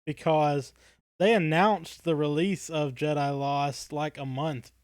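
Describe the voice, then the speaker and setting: A man co-hosting a casual fan podcast, talking in a halting, thoughtful way that circles back over his phrases. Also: fairly high pitch, steady tone, dry recording, studio quality. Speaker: podcast co-host.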